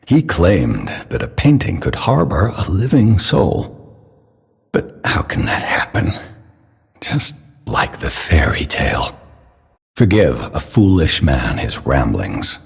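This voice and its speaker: An actor playing an old, fatherly puppet maker in a video game, tender yet menacingly obsessive, speaking in a theatrical, solemn, measured way. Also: rumbling low, very animated, vocal fry, exaggerated voice. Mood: gentle, musing. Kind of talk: dramatic video game character acting. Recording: long cavernous reverb.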